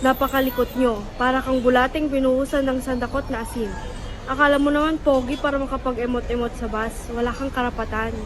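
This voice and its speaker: A woman's voice: high-pitched, steady tone, quick pace, natural voice. A young woman playing an irritated passenger on a bus who scolds bluntly, in a student radio drama. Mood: scolding.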